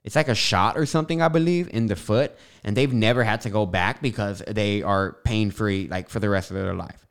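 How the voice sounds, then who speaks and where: A man's voice: on the low side, fairly quick. Man with a noticeable lisp. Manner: rambling, frequent fillers and tangents. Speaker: podcast host. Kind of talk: casual spiritual monologue. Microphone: studio microphone.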